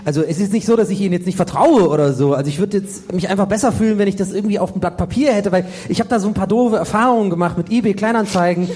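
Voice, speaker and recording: A man's voice: high-pitched, racing, hardly any pauses, a little mellow, lightly acted. A man playing a wary, easily rattled boy detective in a comic audio drama, given to hesitant complaints and outbursts. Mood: nervous, hesitant.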